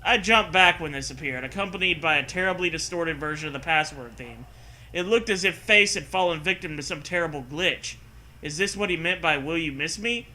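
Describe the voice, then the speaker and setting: Guy reading a story mock serious w/ sweary sarcastic asides, really sharp bright voice, bit of noise behind. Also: on the high side, fairly quick. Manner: sarcastic, profane. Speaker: co-host reading a horror story aloud.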